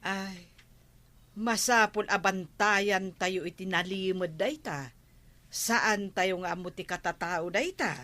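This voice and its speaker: A woman playing a cautious, advising village woman in an Ilocano comedy radio drama, measured in manner. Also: mid-range voice, a bit bright, dry recording.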